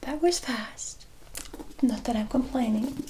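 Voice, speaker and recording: A woman playing a mysterious, soothing fortune-telling forest witch in an ASMR roleplay, speaking in a breathy whisper with deliberate, drawn-out phrasing. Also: fairly high pitch, dark tone, a little hiss or hum. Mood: playful, inviting. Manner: slow, deliberate, drawn-out phrasing with incantation-like repetition.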